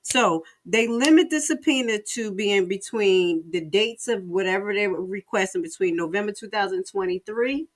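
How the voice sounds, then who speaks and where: A woman's voice: medium pitch, expressive. Exclaiming American woman livestream host. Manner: exclaiming, drawn-out emphatic words, colloquial. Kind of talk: casual live reaction commentary.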